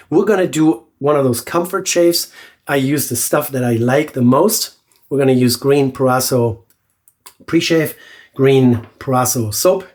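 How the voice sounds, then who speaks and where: A man with a stuffy, nasal voice from a cold, chatting casually while he reviews a razor on his shaving channel. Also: mid-range voice. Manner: relaxed, chatty, with many fillers and restarts. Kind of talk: casual product review vlog.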